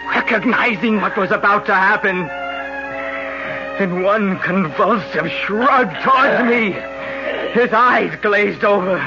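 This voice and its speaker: An actor playing a guilt-ridden young son who narrates his story tensely in a 1970s radio drama. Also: on the high side. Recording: muffled 1970s radio broadcast.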